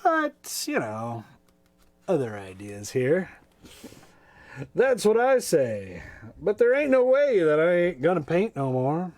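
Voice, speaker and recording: A man reading children's stories aloud in a playful, folksy, expressive way, with casual asides. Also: fairly high pitch, takes it slowly, pauses often. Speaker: storytime reader.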